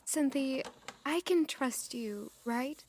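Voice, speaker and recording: A polite, reserved young lady played by a woman speaks in a fairly high voice, confiding gently as in a fantasy audio drama. There is faint noise in the recording. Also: slow. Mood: hesitant, confiding.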